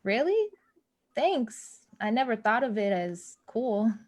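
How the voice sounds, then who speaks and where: An actress playing an anxious, plainspoken young building employee in a naturalistic play reading. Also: female voice, a little high, very animated, takes it slowly, heavily acted. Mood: casual, friendly. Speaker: actor in a staged play reading. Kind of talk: naturalistic stage dialogue reading.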